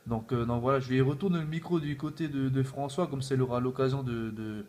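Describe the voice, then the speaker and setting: A man's voice: medium pitch, fairly quick, darkish tone. A man chatting informally in a casual radio contact, with repeated filler tags. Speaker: amateur CB radio operator. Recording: faint background noise.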